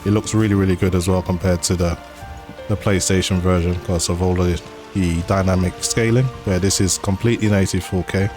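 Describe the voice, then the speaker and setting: A man's voice: sits low, brisk. A man hosting a gaming channel, chatting casually about settings and performance with occasional fillers. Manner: relaxed, conversational, with fillers. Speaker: game channel host. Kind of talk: casual gameplay commentary. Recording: voice-over over game music.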